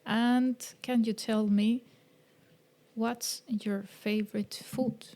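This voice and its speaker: A woman from Colombia, hosting a casual bilingual podcast chat and filling pauses with "um" and "uh". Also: on the high side. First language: Spanish. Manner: relaxed, chatty, with many fillers. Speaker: podcast host.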